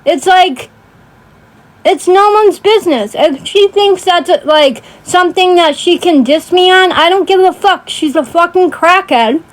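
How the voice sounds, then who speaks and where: A woman's voice: extremely high pitch, unhurried, rough recording. A woman ranting casually on a livestream in a blunt, sweary way, often checking in with emphatic tag questions. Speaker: livestream host.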